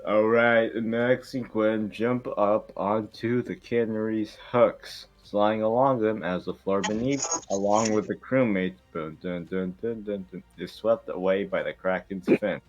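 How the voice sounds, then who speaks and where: A man's voice: lowish voice, fairly even intonation, a little slow, few pauses, lo-fi sound. A man reading stage directions at an amateur table read in a casual, irreverent, joking way with swearing ad-libs. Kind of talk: casual, joking script narration.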